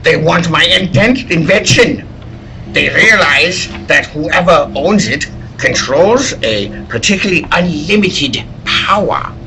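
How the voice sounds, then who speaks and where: A man's voice: fairly high pitch, expressive, slow, vocal fry, background noise, heavily acted. A man playing an earnest, anxious scientist-inventor in 1940s radio serial style, theatrical and emphatic, at a live table read. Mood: anxious, harried.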